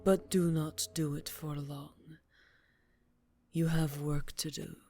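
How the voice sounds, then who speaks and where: A woman performs an imperious vampire mistress in a fantasy ASMR drama. She speaks low and very deliberately, slipping into breathy whispers. Her tenderness is mocking and full of menace, with faint music behind her. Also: level tone, mellow. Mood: menacing, mock-tender. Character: cruel, imperious vampire mistress gloating over her witch apprentice. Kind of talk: ASMR fantasy roleplay acting.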